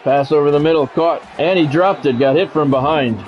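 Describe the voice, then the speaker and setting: A man's voice: fairly high pitch, a little fast, a little hiss or hum. A man doing sports play-by-play for a video game stream, phrasing it like a radio announcer with casual asides. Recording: dull, lo-fi audio with music behind.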